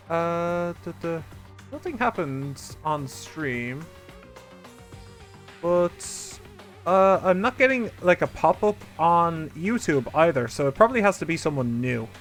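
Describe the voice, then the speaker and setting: A man's voice: on the high side, sing-song, measured pace. A man streaming a game, chatting casually and rambling as he talks to his viewers. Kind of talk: casual let's play commentary. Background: game music.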